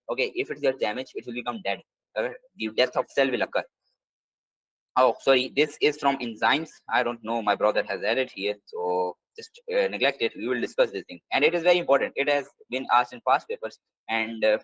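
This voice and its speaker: A man's voice: medium pitch, fairly bright tone, no echo, rough recording. A man teaching a live online biology lesson, repeating key points and phrasing things in slightly non-native English. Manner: repetitive, drilling key points. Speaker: exam prep tutor.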